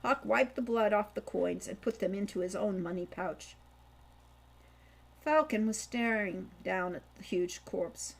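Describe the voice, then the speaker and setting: A woman's voice: medium pitch, unhurried, gravelly, low-quality recording. A woman reading scripted fiction aloud as an audiobook narrator. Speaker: audiobook reader, author.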